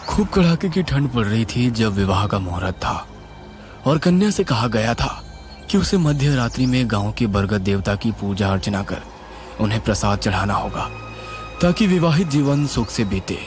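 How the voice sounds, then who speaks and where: A man narrating a scripted horror tale in a dramatic, suspenseful and expressive style. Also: fairly low pitch, normal speed. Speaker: storyteller, narrator. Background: music.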